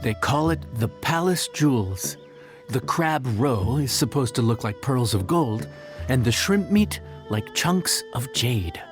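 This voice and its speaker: An actor playing an affable, knowledgeable cook in a video game, chatty and explanatory. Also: male voice, mid-range voice, lively intonation, a little slow, faint music behind, close to an everyday voice. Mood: genial.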